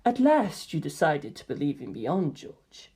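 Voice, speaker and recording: A woman gives voice to a calm teenage boy, a cryptic and gentle friend in a novel reading. The speech is quiet, breathy and measured, with a knowing manner. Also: low voice, voice pushed down, exaggerated voice. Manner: soft-spoken, measured, knowing.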